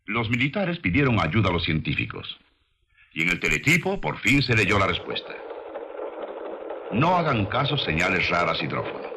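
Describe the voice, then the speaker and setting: A man reading scripted documentary narration with measured, dramatic storytelling delivery, on an old film soundtrack. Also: low-pitched, very animated, bright, forceful tone, a little hiss or hum.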